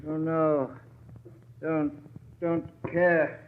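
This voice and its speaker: Muffled vintage radio, a dying servant, feeble, bewildered, high-pitched, very slow and halting. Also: male voice, flat delivery, slight background noise. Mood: dazed. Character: sick, dying servant man, fearful and feeble. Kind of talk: old-time radio acting.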